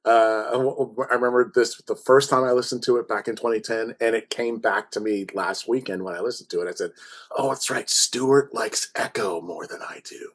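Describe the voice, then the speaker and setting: A man's voice: mid-range voice, steady tone, fairly quick, fairly bright tone. A man reminiscing casually as a podcast guest, telling anecdotes in a jokey way. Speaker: podcast guest, audio drama writer.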